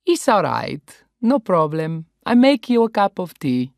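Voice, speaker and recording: A woman's voice: on the low side, measured pace, dry recording, studio quality, pitched above the performer's own voice. A woman doing an eager young Italian waiter. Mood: reassuring. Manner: eager, excitable, broken English. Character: friendly young Italian waiter, restaurant owner's son.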